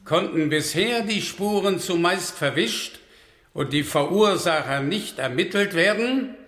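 A man's voice: high voice, lively intonation, a little slow, fairly bright tone. An actor playing a stern presiding judge in a radio drama, formal and declamatory in his delivery. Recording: reverberant hall.

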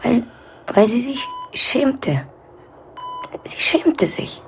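A woman playing a distraught, ashamed woman in her thirties in a radio drama, halting, hushed and repeating her phrases. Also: fairly high pitch, expressive, gravelly, slight background noise, full character voice, rough recording. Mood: anguished, ashamed. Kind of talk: naturalistic radio drama acting.

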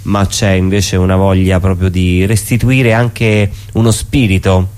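A man's voice: low voice, little pitch movement, a little slow, a little mellow, clean tone. A man reflecting casually in an interview, musing hesitantly and repeating his phrases as he goes. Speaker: interviewed theatre performer.

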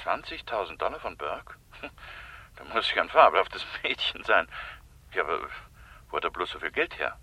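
A man's voice: low-pitched, big pitch swings, normal speed, long pauses, pushed and bright, slightly rough, full character voice, poor audio. An actor playing a middle-aged, well-off businessman in a German radio play, speaking in an urbane, conversational way. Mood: incredulous. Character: wealthy, worried brother-in-law, a businessman keen to avoid scandal.

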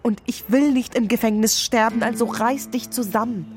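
A woman's voice: fairly high pitch, moderate pace, soft background music, close to an everyday voice. A woman acting in a radio drama as a hard-nosed, guarded, teasing woman with a blunt manner. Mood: bitter, commanding. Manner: blunt, switches into English phrases.

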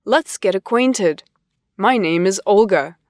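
Woman reading lesson dialogues very slowly. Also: medium pitch, expressive, darkish tone, no room sound, studio quality. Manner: slow, deliberate, carefully enunciated. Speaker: language course voice actor. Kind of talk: scripted textbook dialogue reading.

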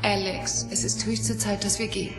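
A woman's voice: mid-range voice, flat delivery, normal speed, vocal fry, close to an everyday voice. An actress playing a worried, determined woman scientist in a dramatic audio play, speaking with pleading urgency. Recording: orchestral music behind the voice.

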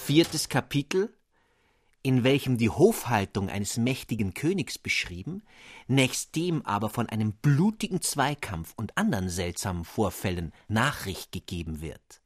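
A man narrating a fairy tale in a measured, expressive way. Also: medium pitch, no room sound, clean studio sound, soft background music.

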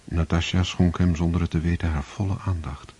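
A male narrator reading a literary story in a measured, scripted way. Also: low-pitched, steady tone, mellow, breathy edge. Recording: dull lo-fi recording.

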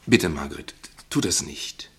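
An actor playing a concerned, soothing male companion who pleads gently in a German radio play. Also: rumbling low, expressive, unhurried, breathy edge, full character voice. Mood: pleading, soothing.

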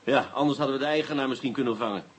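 An actor playing a sly police inspector, mock-polite and insinuating, in a Dutch radio play. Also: male voice, medium pitch, racing, slight background noise, close to an everyday voice. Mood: pressing, ironic. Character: sly, sardonic male police inspector, coolly coaxing.

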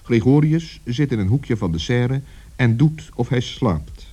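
A man's voice: mid-range voice, animated, measured pace, mellow, slightly rough. A man narrating a children's story in a storybook style. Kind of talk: storybook narration in the present tense.

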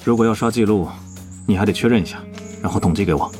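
An actor playing a serious, exasperated young online guild leader in an audio drama. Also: male voice, on the low side, fast, darkish tone, soft background music, close to an everyday voice. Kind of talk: Chinese audio drama acting.

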